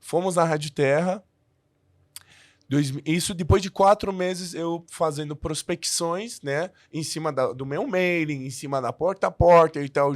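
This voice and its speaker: High-voiced man telling his story, dry sound. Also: dry recording. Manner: halting, many restarts and fillers. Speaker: podcast guest. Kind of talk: casual storytelling interview.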